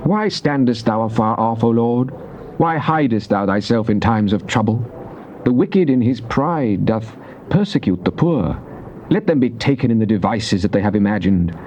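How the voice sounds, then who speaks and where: A British actor playing a fervent psalmist in a dramatized King James Bible reading, declaiming solemnly and deliberately. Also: lowish voice, expressive, darkish tone, close to an everyday voice. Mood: indignant, pleading. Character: psalmist, fervent man praying and praising God. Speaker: scripture reader. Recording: dull, noisy cassette tape transfer.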